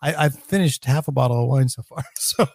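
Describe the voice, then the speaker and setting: A man's voice: medium pitch, brisk, a little mellow, slightly rough, dry recording. Rambling man hosting, dry close sound. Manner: rambling, self-mocking. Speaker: podcast host. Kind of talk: casual chat.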